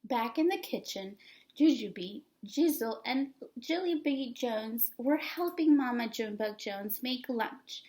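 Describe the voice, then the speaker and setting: High-voiced woman reading slowly to children. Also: animated, a little mellow. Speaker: storybook reader. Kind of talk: expressive children's read-aloud.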